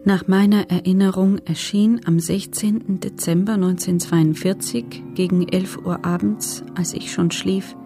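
An actress playing a former female camp prisoner who gives subdued, sober, measured testimony in a documentary radio drama. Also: on the low side, creaky, warm tone.

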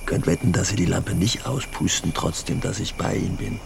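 An actor in a radio drama playing a sly, awkward country fellow with halting, needling, colloquial talk. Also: male voice, a little hiss or hum. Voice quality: very low, creaky.

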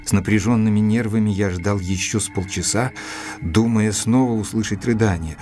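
A man's voice: fairly low pitch, normal speed, darkish tone. A man narrating an audio drama in the first person, reading scripted literary prose. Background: faint music.

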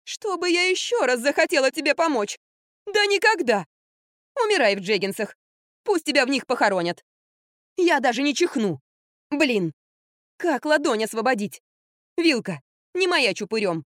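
Woman playing snappy, high-voiced bossy young actress. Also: sing-song, moderate pace, long pauses, fairly bright tone, dry recording, clean studio sound. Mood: shrill, angry. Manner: snappish, whining. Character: bossy, spiteful young actress, squealing and complaining.

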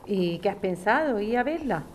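A woman's voice: mid-range voice, lively intonation, moderate pace, a little hiss or hum, lightly acted. A woman acting an older, practical, affectionate grandmother from Spain in a podcast drama, speaking frankly and coaxingly. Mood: reassuring. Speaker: actor in an audio drama.

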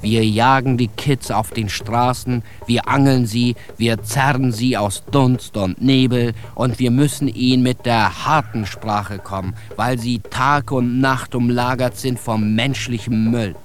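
An actor playing a zealous, tough-talking saviour of street kids, in an emphatic, coarse, preaching radio drama monologue. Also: male voice, mid-range voice, steady tone, a little slow, hardly any pauses, slight background noise, natural voice. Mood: zealous, insistent. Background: music.

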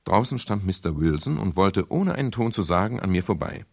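A man's voice: low-pitched, lively intonation, a little fast, gravelly, heavily acted. An actor playing a wry private detective who narrates his own story dryly in a 1970s crime radio play. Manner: dry, laconic, ironic storytelling.

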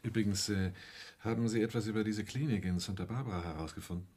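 An actor in a radio play playing a wry, watchful accomplice with a dry, understated delivery. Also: male voice, lowish voice, fast talker, a little mellow, slightly rough. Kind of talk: 1980s German radio play acting.

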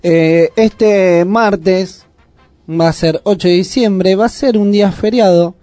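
A man's voice: sits high, slow pace, warm tone, dry recording. A man co-hosting a community radio show, offering reflective comments. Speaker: radio co-host. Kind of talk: casual radio chat.

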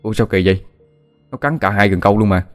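A man voicing a stubborn, quick-tempered young villager, speaking forcefully. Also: fairly low pitch, soft background music, lightly acted. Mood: reasoning. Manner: forceful, rapid. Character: stubborn young man, fair-minded but quick to hit.

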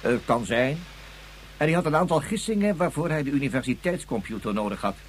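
A man's voice: a little high, lively intonation, brisk, lightly acted. A man acting a formal, wordy tribesman with a florid manner in a Dutch science fiction radio drama. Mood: polite, informative. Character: formal, wordy tribesman with grumbling scorn for tyrant. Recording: slightly noisy radio play recording.

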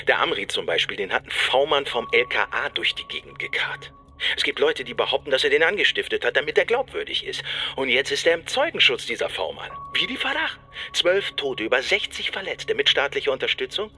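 A man's voice: medium pitch, pushed and bright, full character voice. A man playing an embittered, suspicious police detective in a naturalistic radio crime drama, blunt, colloquial and sardonic.

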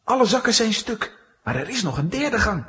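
A man's voice: high voice, big pitch swings, normal speed, bright, forceful tone, heavily acted. A man playing a brave, earnest young hero in a children's radio play, speaking with urgency. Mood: alarmed.

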